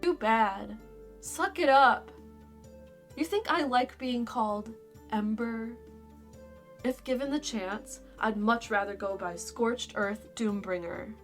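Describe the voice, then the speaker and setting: Young female dragon, played by a woman: gruff attitude, dry and dismissive, slightly high, slow delivery, music underneath, fantasy audio drama. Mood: wry, blunt. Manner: brusque, dismissive, casual. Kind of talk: fan fiction audio drama acting.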